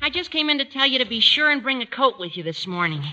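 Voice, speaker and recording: An actor playing a gawky, eager teenage schoolboy in an earnest, wheedling 1940s radio comedy style. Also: male voice, extremely high pitch, sing-song, fast talker, sharp tone, highs cut off, heavily acted. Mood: eager, scheming. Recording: faintly noisy, clicking 1940s radio recording.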